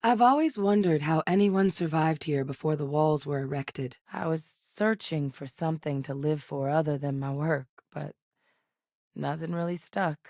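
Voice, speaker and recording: A level-headed woman scientist and seasoned field hand from a video game, speaking in a low voice. Her delivery is measured and matter-of-fact. The studio sound is close and dry, with no room around it. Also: darkish tone, no echo. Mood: steady. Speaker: video game companion character. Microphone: studio microphone.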